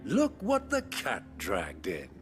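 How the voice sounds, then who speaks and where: Scornful older uncle, wildly animated pitch. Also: male voice, takes it slowly, faint music behind, heavily acted. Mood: sardonic. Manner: scornful, dry, indignant. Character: grumbling, sardonic older uncle, proud and easily riled. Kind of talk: dramatic video game acting.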